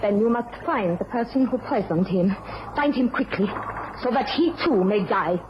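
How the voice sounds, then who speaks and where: An actress playing a proud, fearless French widow in a radio drama, with a French accent and formal, intense delivery. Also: female voice, mid-range voice, expressive, normal speed, close to an everyday voice. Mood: vengeful anger. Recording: noisy, dull lo-fi radio recording.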